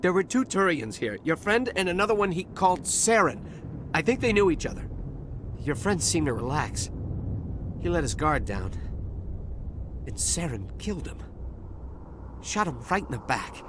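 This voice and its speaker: An actor playing a shaken, cowardly dockworker in a video game, speaking haltingly and nervously. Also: male voice, fairly high pitch, very animated, background noise. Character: shaken, sheepish, cowardly male dockworker survivor. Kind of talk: dramatic game dialogue. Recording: game soundtrack music behind voice.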